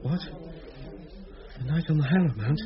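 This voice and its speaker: A man playing a puzzled convalescent in a radio play. His voice is fairly high, subdued and questioning, on a slightly dull recording. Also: lively intonation, normal speed, crowd noise, close to an everyday voice. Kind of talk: radio drama acting.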